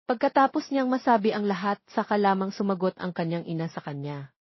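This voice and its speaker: A woman's voice: mid-range voice, fairly quick, slightly rough, no echo, dull audio. A woman narrating a scripted love story as an audiobook reader.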